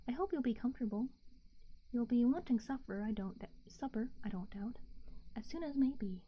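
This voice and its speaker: A woman voicing a bustling, forgetful male innkeeper, in a hurried, chatty whisper, on muffled lo-fi audio. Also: fairly high pitch, animated, a little hiss or hum, natural voice.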